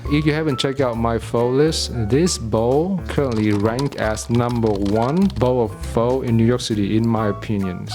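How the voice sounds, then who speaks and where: A man's voice: mid-range voice, darkish tone. A young man from Vietnam with a Vietnamese accent, reviewing restaurants in a conversational, opinionated way with wry asides. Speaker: food reviewer. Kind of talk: food review monologue.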